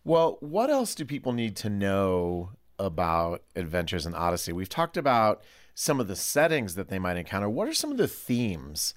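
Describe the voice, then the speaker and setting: A man's voice: sits low, wildly swooping pitch, normal speed, no room sound, clean studio sound. A man hosting a casual panel discussion, putting questions to his guests in a conversational way. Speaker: host asking guests questions.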